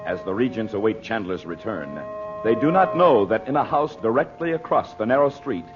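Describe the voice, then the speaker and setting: Animated male 1940s radio announcer. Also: on the low side, normal speed. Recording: dull old radio transcription with music.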